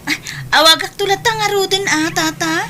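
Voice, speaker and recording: A woman's voice: extremely high pitch, steady tone, normal speed, sharp tone, a little hiss or hum. A woman playing a hurt, generous older sister in an emotional, pleading Filipino radio drama style. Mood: surprised. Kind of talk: Ilocano radio drama acting.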